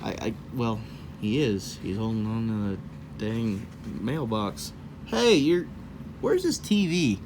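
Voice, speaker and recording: A man's voice: mid-range voice, sing-song, slow, noisy background. A man reading a children's story aloud in a folksy, rambling way, breaking off for casual, jokey asides. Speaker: host of a children's read-aloud show.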